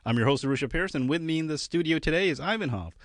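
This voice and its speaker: A man's voice: fairly high pitch, expressive, fast talker, dry recording. A man hosting a studio investing podcast, upbeat and affirming as he interviews his guest. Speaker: podcast host.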